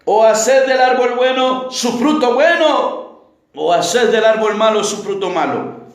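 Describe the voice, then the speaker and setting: A man's voice: very high voice, measured pace. A man preaching a fiery evangelical sermon, emphatic and declamatory, with repeated phrases and rhetorical questions. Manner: declamatory, often rising to shouts. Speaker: preacher, pastor. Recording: very echoey, reverberant room sound.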